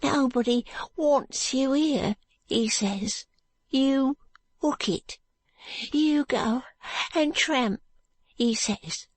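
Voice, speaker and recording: A woman voicing a frightened, pitiful ragged street boy with a high, cracked, tearful voice and slurred street speech. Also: drawn-out words, pauses often, no room sound, full character voice. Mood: pleading.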